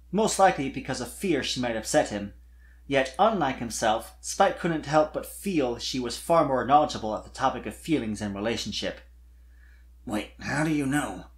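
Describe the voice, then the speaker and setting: An expressive fan fiction narrator with a medium pitch. The delivery is scripted storytelling, with a very animated rise and fall through each sentence.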